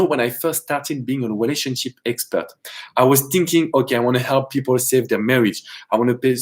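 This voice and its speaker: A man with a strong French accent, a relationship coach giving advice on a live stream and greeting viewers by name. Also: mid-range voice.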